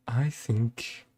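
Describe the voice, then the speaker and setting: A man giving casual reaction commentary, thinking aloud in short, hesitant fragments over music. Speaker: host of a reaction and ranking video. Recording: music playing behind the voice. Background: game trailer music.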